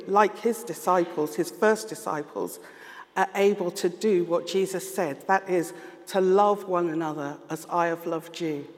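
A man with a London accent preaching a sermon, earnest and measured, pausing often as he reflects. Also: sits high. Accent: London English. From London, England. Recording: long reverb of a large hall.

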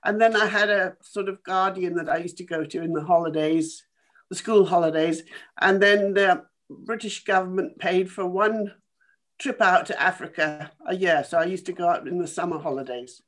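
A woman in her eighties from Kenya, a former dancer telling her life story in a halting, thoughtful way with small laughs. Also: fairly low pitch, fairly bright tone. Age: 80s. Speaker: interview guest, former dancer. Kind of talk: casual remote interview.

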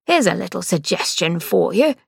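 A woman voicing a smug, sharp-tongued young male doctor who teases and scolds his sister. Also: fairly low pitch, sing-song, moderate pace, gravelly, breathy edge, no room sound, clean studio sound, full character voice. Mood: exasperated. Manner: teasing, exasperated.

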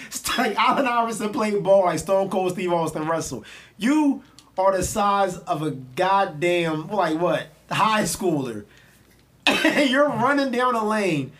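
Philly podcast co-host, male, higher-pitched voice, animated, profane, storytelling. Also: slight background noise. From Philadelphia. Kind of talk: casual chat.

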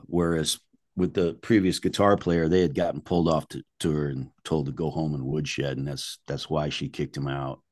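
An older man speaks in a deep voice with very little room sound around it. He tells anecdotes as an interviewed musician would, casually and colloquially. His phrasing is blunt and salty. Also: a little mellow, dry recording, clean studio sound.

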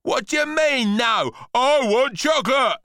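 Storybook brat: young greedy boy, high-pitched, forceful, whiny, slangy, defiant. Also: animated, unhurried, smooth, no echo, clean studio sound, exaggerated voice. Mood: disgusted, furious. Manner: slangy, whiny, explosive outbursts.

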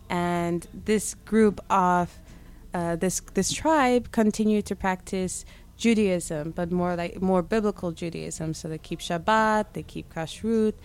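Hesitant woman from Zimbabwe, lowish voice. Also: unhurried, clear, resonant tone. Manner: hesitant, many fillers and restarts. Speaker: guest, camp staff. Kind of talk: radio interview. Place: summer camp radio station.

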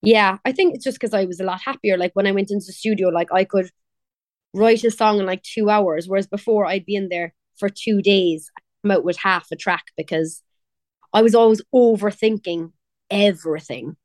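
A woman's voice: mid-range voice, moderate pace, a little mellow, no room sound, clean studio sound. A young woman from Cork with a Cork Irish accent, chatting casually as an interview guest, laughing and quoting others. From Ireland. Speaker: pop singer, guest.

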